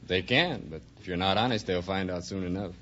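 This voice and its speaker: Deep-voiced young American man. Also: fairly quick, fairly bright tone. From Detroit, USA. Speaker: quiz contestant. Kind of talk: plain interview answers. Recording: dull old radio recording, faint noise.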